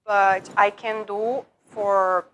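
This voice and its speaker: A woman's voice: medium pitch, flat delivery, drawn-out words, poor audio. A woman from Brazil with a Brazilian Portuguese accent and broken English, telling her life story in an interview, often repeating and restarting words. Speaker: guest.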